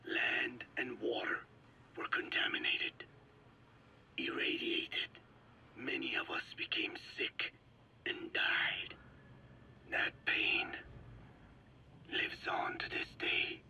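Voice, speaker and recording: An actor playing a frail, regretful elderly Navajo scientist in a video game, speaking slowly and solemnly in a breathy, whispery rasp. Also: male voice, medium pitch, sharp tone, heavily acted. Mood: sorrowful, solemn. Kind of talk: dramatic video game voice acting.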